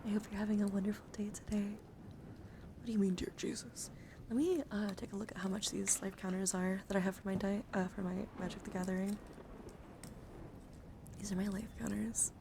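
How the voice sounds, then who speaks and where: Woman, mid-pitched, heavy vocal fry, breathy whispering, soothing ASMR livestream chat, noticeably noisy recording. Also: flat delivery, a little fast, long pauses. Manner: gentle, soothing, intimate. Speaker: live streamer hosting ASMR. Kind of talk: ASMR, casual chat with viewers.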